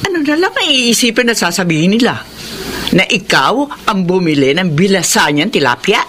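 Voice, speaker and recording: A woman's voice: mid-range voice, sing-song, normal speed, noisy background, close to an everyday voice. An actress with a Filipino accent playing a wary, middle-aged mother who admonishes dramatically in a radio drama. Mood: disapproving.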